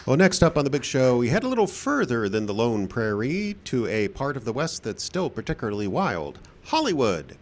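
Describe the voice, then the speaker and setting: A man's voice: mid-range voice, wildly swooping pitch, normal speed, few pauses. A man hosting a live radio theater show, delivering theatrical scripted narration like a showman. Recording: live show with faint background noise.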